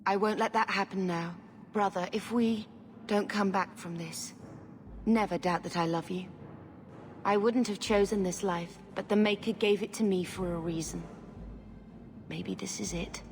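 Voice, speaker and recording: Here is an actress as a devout young warden in a video game. She is a resolute woman, earnest and reflective, with a medium-pitched voice. Long reverb surrounds it, with faint ambient noise. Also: fairly even intonation, measured pace, lightly acted. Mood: earnest, wistful. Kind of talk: dramatic video game cutscene acting.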